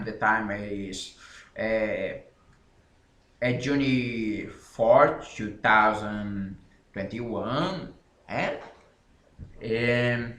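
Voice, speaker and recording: A Brazilian man whose first language is Portuguese talks in English with a clear Brazilian accent. He speaks very slowly, pausing and restarting his sentences as he searches for words. The room around him gives his voice a noticeable echo. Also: medium pitch, fairly bright tone. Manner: halting, self-correcting non-native English with frequent pauses. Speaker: independent author. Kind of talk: casual explanatory talk to camera.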